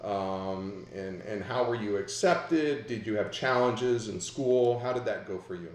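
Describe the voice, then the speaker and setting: Host type: man, lower voice, rambling questions, roomy echo. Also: unhurried. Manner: rambling, restarts questions often. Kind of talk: video call interview.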